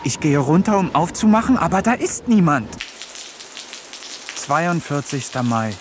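A man's voice: on the high side, sing-song, a little slow, slight background noise. A man playing an eccentric village man who talks to animals, narrating a German radio play in a wry, musing first-person voice. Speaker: narrator and lead character.

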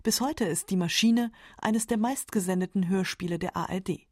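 A woman's voice: mid-range voice, moderate pace, no echo, studio quality. A woman reading scripted radio documentary narration.

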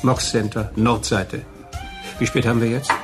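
A man's voice: medium pitch, flat delivery, moderate pace, close to an everyday voice. A man playing a streetwise, chatty informant in a radio play, talking in a casual, offhand, colloquial way. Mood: matter-of-fact. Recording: music behind the voice.

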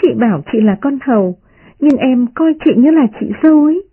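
A performer voicing a playful, sharp-tongued young noblewoman who mocks and teases, in a storybook reading. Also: sits high, animated, brisk, phone-quality sound, pitched above the performer's own voice. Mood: teasing. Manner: playful, mocking.

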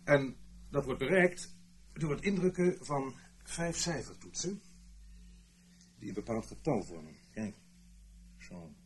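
An actor playing an earnest British intelligence officer, giving a formal, measured explanation in a Dutch radio play. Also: male voice, medium pitch, animated, slight background noise. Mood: patient, instructive. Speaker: intelligence briefer.

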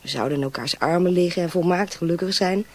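An actress playing a dreamy, romantic young woman in love in a radio drama, wistful and often hushed and breathy. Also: on the low side, fast talker, no room sound, natural voice. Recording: clear outdoor nature noise.

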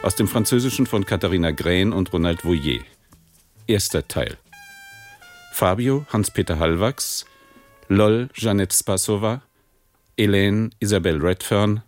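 Deep, slow male announcer, formal credits read over soft music.

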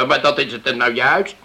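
Radio play role: sceptical village man, gravelly and forceful, halting, mulls over every phrase. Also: mid-range voice, exaggerated voice. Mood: wary, pondering. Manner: halting, repeats himself. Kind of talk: radio drama acting.

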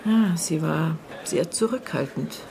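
Radio drama: middle-aged aunt of a dead girl, lowish female voice, terse, halting, guarded, bitter edge. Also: lively intonation, vocal fry, a little mellow, exaggerated voice, low-quality recording. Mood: reflective, guarded. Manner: terse, halting, broken phrases with thoughtful pauses. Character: murdered girl's aunt, bitter, guarded, searching her memory. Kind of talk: naturalistic German radio drama acting.

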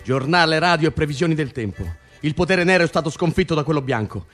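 A man's voice: a little high, expressive, quick pace, fairly bright tone, soft background music. A man acting in an Italian radio drama, playing a weary, driven political prisoner who bosses his cellmate with wry, foul-mouthed exasperation. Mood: mock announcing.

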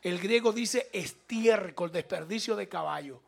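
Radio preacher, man, high gravelly voice, emphatic and repetitive. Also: measured pace. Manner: fervent and emphatic, with repetition and rising exhortation. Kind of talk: evangelical sermon.

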